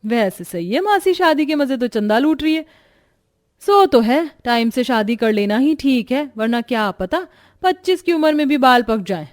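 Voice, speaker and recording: A woman's voice: high voice, very animated, normal speed, no room sound, clean studio sound, pitched above the performer's own voice. A woman doing a sly, teasing daughter-in-law. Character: middle daughter-in-law, sharp-tongued and scheming.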